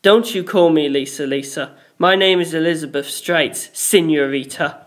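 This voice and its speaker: A woman voicing a haughty, protective young woman with a sharp temper and an imperious, emphatic delivery in a story reading. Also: low voice, moderate pace, close to an everyday voice.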